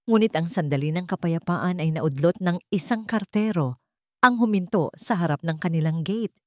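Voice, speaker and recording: A woman storyteller with a fairly low voice narrates a dramatic story from a script. The sound is dry, with very little room in it. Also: moderate pace, mellow, no echo.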